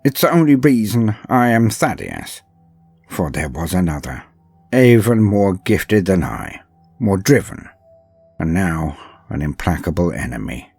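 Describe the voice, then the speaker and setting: A haunted, proud inquisitor voiced by a male actor tells his tale in a husky, low voice. He speaks with solemn theatrical weight and deliberate pauses, swinging widely in pitch. The recording is close and dry, with soft music behind him. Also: sing-song, no room sound, heavily acted. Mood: reverent, intent. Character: grave, proud inquisitor, last of a revered line, haunted. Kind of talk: dramatic first-person narration in audio drama.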